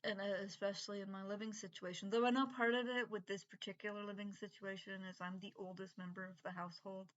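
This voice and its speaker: A middle-aged woman with an unusual voice from breathing troubles, rambling in a halting, casual monologue full of fillers and restarts. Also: medium pitch, flat delivery, fairly bright tone, lo-fi sound. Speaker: vlogger.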